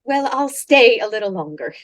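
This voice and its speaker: A woman's voice: a little high, animated, normal speed, natural voice. A woman playing a penny-pinching, exasperated wife who needles and complains in an old-time radio comedy. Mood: concerned, penny-pinching.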